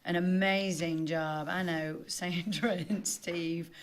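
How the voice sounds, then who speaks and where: Chatty loud-voiced woman guest. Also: lowish voice, fairly even intonation, slow pace. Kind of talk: casual morning TV chat.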